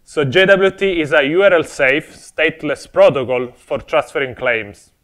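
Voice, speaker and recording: A man's voice: on the high side, moderate pace, a bit bright. A young man from Italy with an Italian accent, giving a friendly, explanatory conference talk on a technical topic with touches of humor. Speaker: conference speaker. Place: conference stage before an audience.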